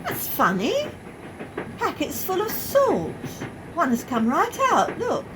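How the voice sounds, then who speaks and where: An actress plays a defensive, easily frightened working-class woman in an amateur radio drama. Her voice is high and very animated, with blunt colloquial phrasing. A noisy train rumbles behind her. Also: slow, full character voice. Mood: exasperated. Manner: colloquial, non-standard grammar, blunt. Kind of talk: amateur radio play acting.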